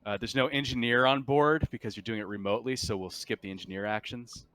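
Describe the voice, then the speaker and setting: Male game master, clear and forward tone, close dry sound, talking through rules off the cuff. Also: medium pitch, steady tone, quick pace, a bit bright, dry recording. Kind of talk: explaining tabletop game rules in casual chat.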